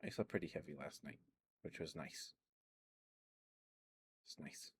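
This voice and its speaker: Low-voiced man rambling in soft ASMR chat. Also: unhurried, breathy edge. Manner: meandering, chatty, lots of ums and little laughs. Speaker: ASMR streamer.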